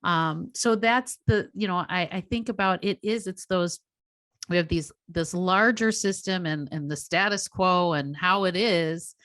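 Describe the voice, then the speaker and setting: A woman with a fairly low voice reflects aloud in a thoughtful, rambling manner, circling back through her own sentences. Her voice sounds dry and close, with no room echo. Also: a little slow, fairly bright tone. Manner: thoughtful, rambling, many fillers like "you know". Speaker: teacher and podcast co-host. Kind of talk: reflective casual chat.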